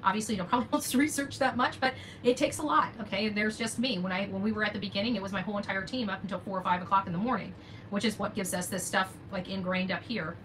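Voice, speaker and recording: A woman's voice: medium pitch, steady tone, fast talker, fairly bright tone, a little hiss or hum, rough recording. An older woman hosting unscripted opinion commentary, emphatic and repeating key phrases for stress. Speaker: true-crime commentary host.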